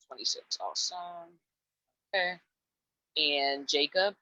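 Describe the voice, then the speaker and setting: A woman's voice: low-pitched, sharp tone, no echo, muffled recording. A woman hosting a dating show call-in, chatty and upbeat, cheering each guest on. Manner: upbeat, answers each guest with a quick "awesome". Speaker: host. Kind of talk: casual chat, running a call-in dating show.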